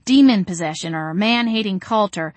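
A woman's voice: mid-range voice, lively intonation, a little fast, a little mellow, no room sound, natural voice. Woman voicing a wry, exasperated young vampire woman. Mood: indignant. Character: sarcastic, exasperated young female vampire bookshop owner.

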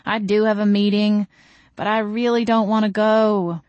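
A woman's voice: medium pitch, level tone, moderate pace, darkish tone, no echo, dull audio, natural voice. A young female vampire from a comic novel, acted by a woman reader. She is sarcastic and easily exasperated, leaning on her words with wry emphasis. Her voice is mid-range and recorded very dry. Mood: grumbling.

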